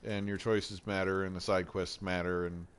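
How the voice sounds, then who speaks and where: A man's voice: low-pitched, flat delivery, normal speed, clear, resonant tone. A young man with a head cold, chatting casually and swearing as he introduces a game playthrough. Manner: laid-back, rambling, swears casually. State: stuffy, has a head cold. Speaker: gameplay streamer. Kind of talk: casual gaming intro chat.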